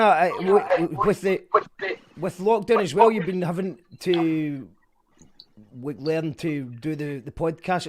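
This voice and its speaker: A man's voice: on the high side, a little hiss or hum. A man hosting a livestreamed fundraiser, chatting with guests and reading out viewer comments. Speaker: host.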